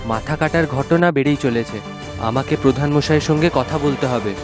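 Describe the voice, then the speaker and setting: An actor voicing a trusting village husband in a ghost cartoon, with a concerned, questioning way of talking. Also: male voice, mid-range voice, fairly quick, over music, close to an everyday voice. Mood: worried.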